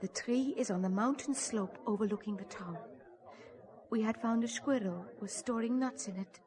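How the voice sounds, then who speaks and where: A woman's voice: on the high side, normal speed, a little mellow, natural voice. An actress playing a guarded, lonely, lovelorn woman in an audio drama, speaking formally and earnestly with careful phrasing. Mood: wistful, confiding. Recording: music playing behind the voice. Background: music.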